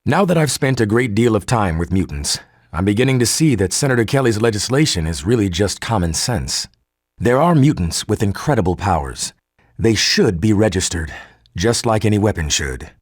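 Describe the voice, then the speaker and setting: An actor playing a formal, articulate male geneticist recording an audio log for a game, measured and husky. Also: fairly low pitch, no room sound, clean studio sound. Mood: resigned, wary. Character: earnest male geneticist turning cold and militant.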